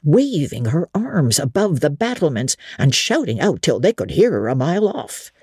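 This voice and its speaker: A woman's voice: deep voice, darkish tone, husky, no room sound, studio quality, voice pushed down, full character voice. A woman voicing an old male country servant, who tells a grim tale in old-fashioned dialect. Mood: horrified. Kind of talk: dramatic audiobook reading.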